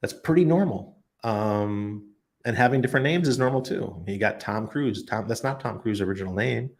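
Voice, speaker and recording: A man's voice: fairly low pitch, moderate pace. A man hosting a casual livestream and answering viewers' chat, rambling and thinking aloud with frequent ums and restarts. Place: expat living abroad.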